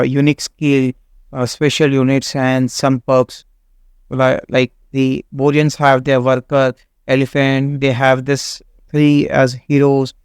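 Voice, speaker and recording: A man, not a native English speaker, hosting a game walkthrough in a halting way with many fillers and restarts. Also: mid-range voice, clear, resonant tone, no echo, clean studio sound. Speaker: gaming channel host. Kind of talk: casual game walkthrough commentary.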